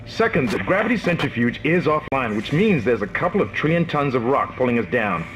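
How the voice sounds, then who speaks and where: An actor playing an urgent, commanding military officer on a doomed spaceship in a video game, giving clipped briefings. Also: male voice, mid-range voice, big pitch swings, brisk, noisy background, close to an everyday voice. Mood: tense, commanding.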